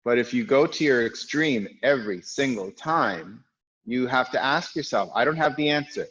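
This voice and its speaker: A man guiding an online yoga class, with a loud, strong voice, calm and reflective, speaking in unhurried phrases with pauses. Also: medium pitch, lo-fi sound. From United States. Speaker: yoga teacher. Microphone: recorded for an online class video.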